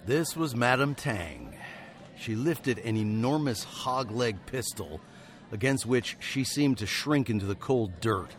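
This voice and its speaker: An actor playing a haunted, weary frontier hero turned fugitive, narrating in wry, wordy literary phrases for radio drama. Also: male voice, medium pitch, animated, a little slow, slight background noise, lightly acted. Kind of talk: scripted first-person radio drama narration.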